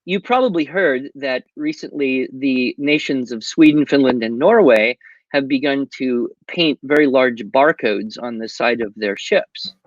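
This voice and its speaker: A man's voice: medium pitch, unhurried, no echo, lo-fi sound. A man talking over a video call as a guest speaker, chatty and wry, with a habit of telling jokes. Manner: wry joke teller, chatty and digressive. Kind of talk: casual interview chat.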